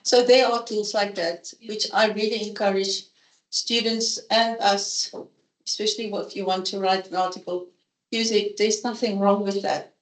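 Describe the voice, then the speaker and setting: A woman's voice: mid-range voice, steady tone, moderate pace. A woman professor speaking candidly and conversationally at a seminar, sharing her opinions openly. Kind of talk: seminar talk. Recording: echoey conference room.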